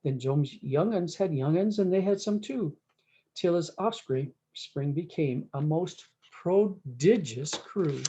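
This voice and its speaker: Mellow woman reading a folksy storybook, muffled. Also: deep voice, expressive. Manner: folksy, drawn-out emphatic words. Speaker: storyteller reading a picture book. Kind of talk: rhyming cowboy-dialect storybook read-aloud with brief asides.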